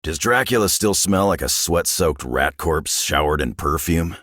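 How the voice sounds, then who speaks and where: An actor playing a gruff, battle-worn older male mutant warrior in a video game, with a raspy, gravelly voice and dry, sardonic, clipped delivery. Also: a bass voice, animated, normal speed, fairly bright tone, dry recording, full character voice. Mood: wry. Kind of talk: video game character voice lines.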